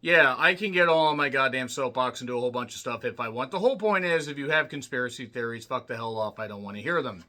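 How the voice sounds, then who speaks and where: A man with a slightly rough, worn voice giving a casual spoken movie review, blunt and full of swearing, clearing his throat often. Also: mid-range voice, fast, hardly any pauses, bright, forceful tone. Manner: blunt, profane ranting with frequent throat clearing. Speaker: film reviewer.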